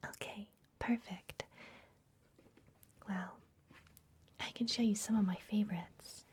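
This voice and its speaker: A woman playing a secretive, soothing vampire librarian in a fantasy ASMR roleplay, speaking in a soft, breathy whisper close to the microphone. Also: mid-range voice, fairly even intonation, close to an everyday voice. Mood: secretive, welcoming. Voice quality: breathy, mostly unvoiced whisper. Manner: soft, gentle, unhurried, confiding.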